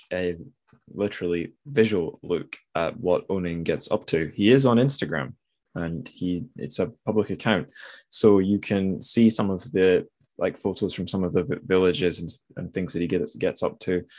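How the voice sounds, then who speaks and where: Male podcast host, deep voice, dry close sound, informal and halting book talk. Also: no room sound. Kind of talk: literary podcast conversation.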